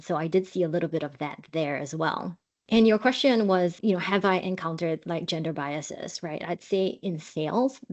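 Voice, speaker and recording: A woman from Singapore, a guest on an interview podcast, with a voice on the low side. She explains at length in a measured, earnest way. The audio sounds dull and lo-fi. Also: darkish tone. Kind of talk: interview answers.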